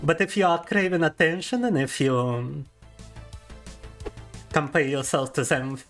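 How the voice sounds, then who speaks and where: A man's voice: a little high, unhurried, a bit bright, faint music behind. Playful young man. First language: Russian. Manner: playful and teasing, reads chat aloud and laughs often. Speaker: VTuber streamer. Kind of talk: casual livestream chat.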